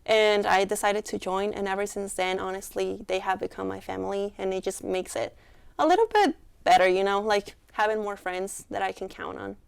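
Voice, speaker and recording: Young woman with a Mexican accent, gravelly. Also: medium pitch, moderate pace. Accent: Mexican Spanish. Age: young adult. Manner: earnest, heartfelt, many "like" fillers. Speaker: student club member, interviewee. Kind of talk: casual interview answers.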